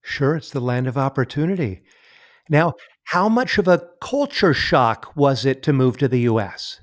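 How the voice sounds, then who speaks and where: A man's voice: mid-range voice, lively intonation, moderate pace. Upbeat man hosting a podcast. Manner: upbeat, promotional, eager. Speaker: podcast host.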